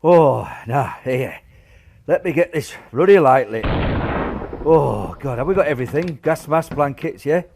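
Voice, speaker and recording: A man in a radio play acting a gruff, cheery old grandfather, blustery and reassuring. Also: on the high side, expressive, a little slow, noisy background. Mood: cheerful bustle. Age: older. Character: gruff, cheery old grandfather, proud wartime shelter builder. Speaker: amateur radio play actor.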